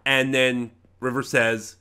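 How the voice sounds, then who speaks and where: A man reviewing in a casual, unscripted way, opinionated and rambling, halting and correcting himself as he goes. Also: mid-range voice, level tone, sharp tone, smooth. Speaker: reviewer, channel host.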